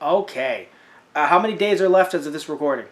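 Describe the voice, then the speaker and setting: A young man co-hosting a casual podcast, animated and jokey, slipping into silly voices. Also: a little high, a little fast. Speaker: podcast co-host. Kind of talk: casual chat.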